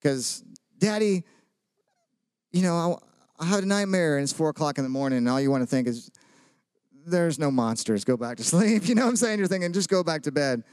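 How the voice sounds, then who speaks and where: Man in his thirties, higher voice, joking sermon, dry close sound. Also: animated, a little fast, long pauses, a little mellow, clean tone, no echo. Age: 30s. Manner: chatty, joking, asks the audience questions. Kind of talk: casual sermon with personal stories.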